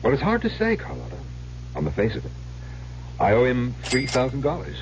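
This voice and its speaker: An actor playing an educated middle-aged husband, speaking in a measured, confessional, theatrical way in an old-time radio drama. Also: male voice, mid-range voice, huge pitch swings. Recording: dull, noisy old radio recording.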